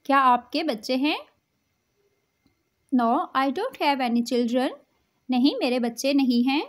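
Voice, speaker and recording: A woman leading an English practice drill, saying each English sentence slowly, then giving its translation. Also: high voice. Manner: English spoken very slowly, Urdu lines quick. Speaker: English teacher.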